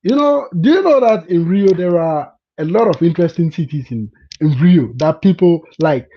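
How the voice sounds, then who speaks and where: A man's voice: fairly high pitch, animated, moderate pace, a little hiss or hum. A man from Ghana chatting live with viewers, repeating words and often asking if they understand. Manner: keeps checking in with "you understand?". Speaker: vlogger hosting a live chat. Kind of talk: casual live-stream chat with viewers. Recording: dull lo-fi sound.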